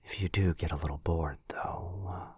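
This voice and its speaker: Man voicing a very deep, breathy, gentle vampire lord. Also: fairly even intonation, normal speed, no room sound. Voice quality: very deep, breathy, husky near-whisper. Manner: soft-spoken, hesitant, formal and earnest. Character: gentle, protective, courtly male vampire lord. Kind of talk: ASMR boyfriend roleplay. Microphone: close studio mic, dry.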